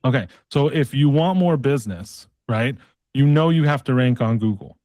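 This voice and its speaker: Male sales coach for a mock call pitch: colloquial, persistent, pushy closer, mid-pitched voice in a dry recording. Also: expressive, moderate pace, warm tone, no echo. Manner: pushy, persistent, uses tag questions; casual, colloquial phrasing.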